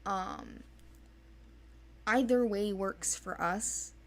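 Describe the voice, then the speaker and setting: A woman's voice: mid-range voice, drawn-out words. A woman giving an informal, unscripted explanation in a hesitant, rambling way, as a casting organizer.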